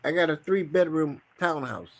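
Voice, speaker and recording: An American man trading loose, profane banter on a podcast. He talks bluntly and laughs easily, his voice a touch higher than most men's. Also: moderate pace, vocal fry, a little hiss or hum, poor audio.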